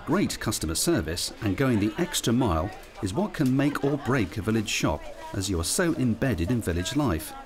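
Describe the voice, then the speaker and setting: A man's voice: lowish voice, very animated, normal speed, few pauses. A man reading scripted narration for a training film. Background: background music.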